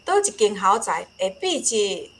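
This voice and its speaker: Proud country granny with a Taiwanese accent. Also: female voice, mid-range voice, sing-song, measured pace, a little hiss or hum. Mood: proud, homely. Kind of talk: Taiwanese radio drama acting.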